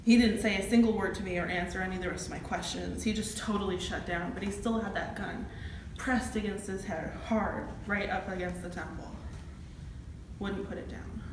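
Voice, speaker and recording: A woman's voice: mid-range voice, steady tone, moderate pace, natural voice. A woman acting a shaken, plain-spoken campus safety officer testifying in an amateur courtroom drama. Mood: tense, recounting. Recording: echoey, distant room with clear noise.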